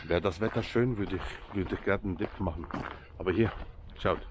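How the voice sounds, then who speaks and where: Swiss guy, deep low voice, chatty vlog by a lake, lots of outdoor noise. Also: brisk. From Switzerland. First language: Swiss German. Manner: chatty, joking, addresses viewers directly. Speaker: vlogger. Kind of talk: casual vlog commentary to viewers.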